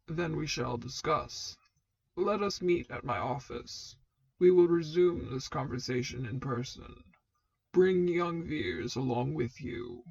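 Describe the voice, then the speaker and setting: A woman voicing a stern male dark lord and commanding mentor, speaking in a formal, measured, authoritative way for a fan fiction reading. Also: deep voice, lively intonation, vocal fry, no room sound, highs cut off, pitched below the performer's own voice, full character voice.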